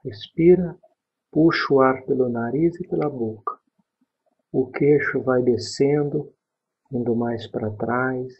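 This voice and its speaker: A man with a Brazilian accent leading a guided sleep meditation, calm and unhurried, pausing between short phrases in a husky, breathy voice. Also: mid-range voice. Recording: dull, muffled lo-fi recording.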